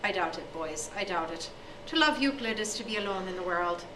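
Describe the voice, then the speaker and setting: A dreamy old schoolmaster speaks slowly and solemnly, as a woman reading aloud puts him on. The bright voice carries an echo, heard from a distance. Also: mid-range voice, expressive, a little hiss or hum, natural voice. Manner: slow, solemn, sighing. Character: dreamy, sorrowful old male maths teacher. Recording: echoey room from far off.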